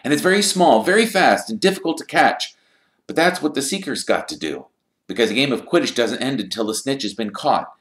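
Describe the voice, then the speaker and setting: A man voicing a tired, reluctant teenage boy who answers flatly and explains things with resigned patience. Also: medium pitch, fairly quick, voice pushed up, lightly acted. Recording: roomy echo.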